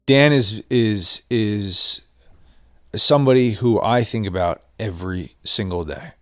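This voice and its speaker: A man interviewed about an old friend speaks informally and haltingly, using casual slang. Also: on the low side, no room sound, clean studio sound.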